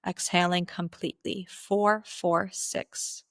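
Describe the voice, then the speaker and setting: A woman leading a guided meditation as its guide, speaking in slow, deliberate instructional phrases with pauses. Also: on the low side, level tone, no echo, studio quality.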